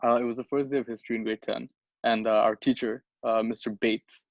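A man's voice: mid-range voice, level tone, fairly quick, no echo, dull audio. A young man from Bangladesh co-hosting a podcast, telling a story in a rambling, casual way with lots of restarts and fillers. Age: young adult. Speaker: podcast co-host. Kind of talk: casual chat.

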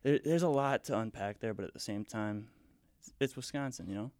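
A man's voice: on the low side, a little fast, a little mellow, smooth. A young man from the US Midwest who grew up speaking Spanish at home, a guest chatting in a laid-back, rambling way. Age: young adult. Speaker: podcast guest. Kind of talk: casual conversation.

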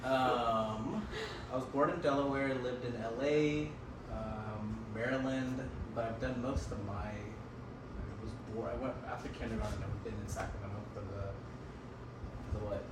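A man's voice: medium pitch, measured pace. A young man chatting casually as a podcast co-host, joking around and often restarting his sentences. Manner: lively, joking, many restarts. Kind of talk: casual chat. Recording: roomy echo, distant mic, noise.

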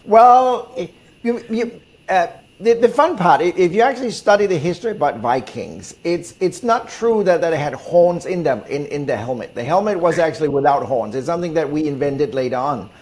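Higher-pitched Danish man answering questions. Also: expressive, moderate pace, a little mellow. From Denmark. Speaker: guest, negotiation expert. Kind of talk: conversational interview answers.

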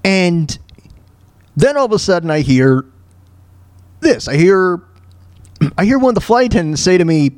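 A man in his thirties hosting a talk show alone, telling stories and ranting casually in a halting, repetitive way with frequent throat clearing. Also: high-pitched, very animated, a little mellow, dry recording. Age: 30s. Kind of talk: casual monologue, ranting and personal storytelling.

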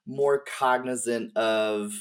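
A man speaking as a podcast guest in casual, halting, informal conversation about game lore, with slang and some swearing. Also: mid-range voice, steady tone, clean tone.

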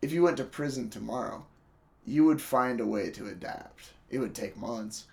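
A man's voice: medium pitch, normal speed, gravelly. A young man hosting a casual podcast, loose and profane, laughing often, his voice worn from a cough. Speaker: podcast host.

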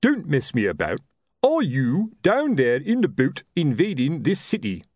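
Brief: a man playing a gruff, bossy old-school sergeant in a storybook reading, loud and raised. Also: fairly high pitch, wildly swooping pitch, measured pace, no echo, pitched above the performer's own voice, full character voice.

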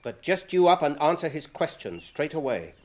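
An actor playing a mild, thoughtful, genteel hobbit with a British accent, gentle and well-spoken, in a radio drama. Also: male voice, a little high, sing-song, moderate pace. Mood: firm, teasing.

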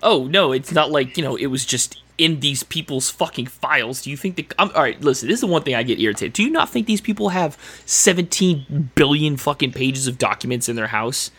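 A man's voice: mid-range voice, animated, fairly quick, few pauses, fairly bright tone, slightly rough. A man co-hosting a casual podcast, blunt and profane, with irritated rants. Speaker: podcast co-host.

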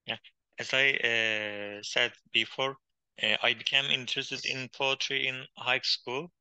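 A man from Turkey's far east, with as his in English, answering interview questions in a halting way with many pauses and fillers. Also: mid-range voice, little pitch movement, bright, forceful tone, clear, resonant tone, no room sound. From Hakkari region of Turkey, Kurdish. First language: Kurdish. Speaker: guest, poet and journalist. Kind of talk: interview conversation.

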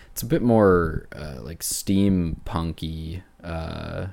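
Very deep-voiced man chatting casually. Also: drawn-out words, gravelly, darkish tone. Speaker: podcast host. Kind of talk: casual chat about video games.

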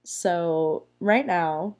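Young woman host, hoarse and raspy from a cold, unhurried, low-key chatty delivery. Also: a little mellow, a little hiss or hum. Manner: chatty, playful, rambling with fillers. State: hoarse from being sick. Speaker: podcast host. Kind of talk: casual solo podcast chat. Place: small room at home.

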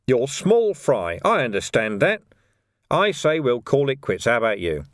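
A man acts out a wily male police commander in an audiobook. His pitch swoops with mock friendliness and sardonic needling, as if toying with a suspect. The sound is close and dry. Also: very animated, unhurried, no echo. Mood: sly needling.

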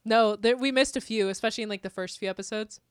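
A woman's voice: a little high, fast, smooth, no room sound, clean studio sound. A young American woman co-hosting a podcast in casual, joking banter, often laughing as she talks. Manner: giggly, laughs often while talking. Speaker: podcast co-host. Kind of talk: casual comedic banter chat.

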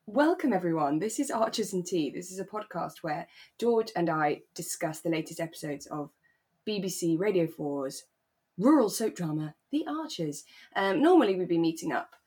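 A woman's voice: fairly low pitch, expressive, moderate pace, darkish tone, slightly rough. A British woman co-hosting a podcast in casual conversation, chatty and given to questioning her own words. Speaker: podcast co-host. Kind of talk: casual chat about a radio drama.

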